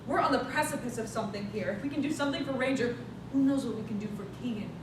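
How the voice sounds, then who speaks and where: Young woman playing authoritative female scientist, staged drama, insistent, reproachful, slightly high voice, far from mic with noisy rumble. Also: rapid-fire, lightly acted. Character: authoritative, driven female scientist and stern mentor. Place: stage or hall at a staged reading. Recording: very echoey room, distant mic, noisy rumble.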